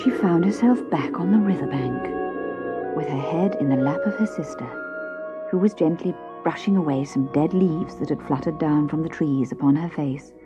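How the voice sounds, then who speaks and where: A woman's voice: low-pitched, normal speed. A woman narrating a children's storybook expressively. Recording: dull cassette transfer with music. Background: music.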